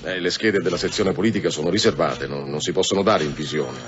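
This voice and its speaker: An actor playing a deferential police subordinate in a radio drama, stammering a little and bureaucratic when reading aloud. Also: male voice, sits low, fast talker, a crowd in the background, close to an everyday voice. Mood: dutiful. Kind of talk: Italian radio drama acting. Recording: dull, noisy radio drama recording.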